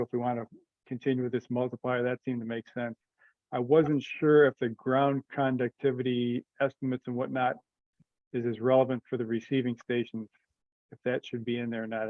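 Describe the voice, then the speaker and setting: A man's voice: mid-range voice, no echo. Man on a dull conference-call line. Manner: tentative, thinking aloud, consensus-seeking. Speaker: presenter in a committee teleconference. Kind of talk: informal meeting discussion, explaining a proposal.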